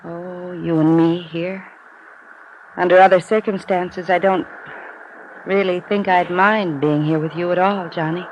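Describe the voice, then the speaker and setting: An actress playing a wistful, troubled wife of a fugitive, earnest and plaintive, in a 1950s radio drama. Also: female voice, fairly low pitch, steady tone, unhurried, lightly acted. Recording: muffled, hissy old radio recording.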